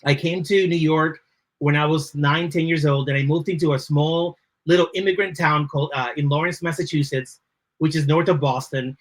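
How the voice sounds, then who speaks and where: Dominican-accented man, fairly high voice. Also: steady tone, normal speed. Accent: Dominican Spanish. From Dominican Republic. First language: Spanish. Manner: thoughtful, often checks in with "right?". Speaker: podcast guest, creative leader. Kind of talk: reflective interview answers.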